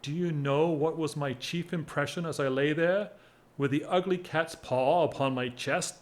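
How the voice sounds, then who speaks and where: An actor playing a whimsical, lovesick English gentleman of forty-one in a period audio drama, wry, measured and self-mocking. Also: on the high side, lightly acted.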